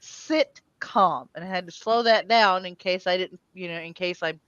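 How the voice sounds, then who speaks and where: A woman's voice: fairly low pitch, normal speed, a bit bright, smooth, no room sound. A woman hosting a livestream in casual chat, playful and often talking to herself, on slightly lo-fi audio. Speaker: livestream host.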